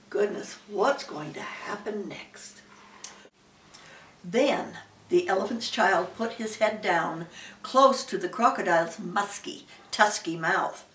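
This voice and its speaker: Unhurried elderly woman reading a children's story. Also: medium pitch, a bit bright. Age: older, great-grandmother. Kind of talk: children's story read aloud with asides. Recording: roomy, echoey, slightly distant mic.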